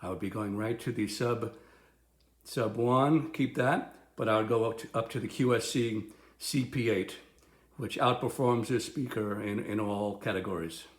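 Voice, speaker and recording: A man giving a casual, unscripted product review as he demonstrates gear, halting and restarting his phrases. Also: lowish voice. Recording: roomy, echoing sound.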